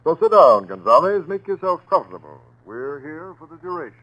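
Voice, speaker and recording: An actor playing a methodical, commanding police captain, giving clipped orders in 1940s radio crime drama style. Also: male voice, a little high, sing-song, a little fast, fairly bright tone. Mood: confident. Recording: noisy 1940s radio broadcast recording.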